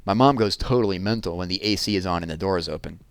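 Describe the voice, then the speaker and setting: A breezy young neighborhood girl from a children's storybook, as a man reads her. The delivery is brisk and a little louder and higher than his narration. The recording is dry. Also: clear, resonant tone, no room sound. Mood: hurried.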